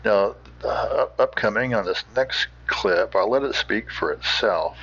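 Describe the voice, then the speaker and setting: A man hosting with informal, rambling commentary in a folksy, halting manner. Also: on the low side, fairly bright tone, breathy edge. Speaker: podcast host. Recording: dull, muffled recording.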